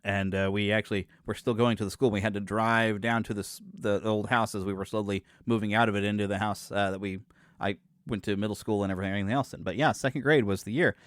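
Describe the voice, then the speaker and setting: A man's voice: lowish voice, steady tone, fast talker, no room sound, clean studio sound. A man in his forties hosting his own podcast in a rambling, chatty way, often correcting himself and making jokes at his own expense. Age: 40s. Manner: self-deprecating and joking. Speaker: podcast host. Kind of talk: casual solo podcast chat.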